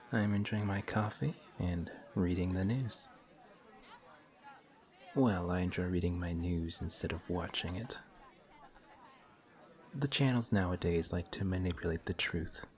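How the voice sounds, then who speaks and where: An actor playing a courteous, formal villain who is sly and quietly taunting, in a one-sided audio roleplay. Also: male voice, deep voice. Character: suave, polite male villain in civilian clothes. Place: coffee shop scene with faint café chatter.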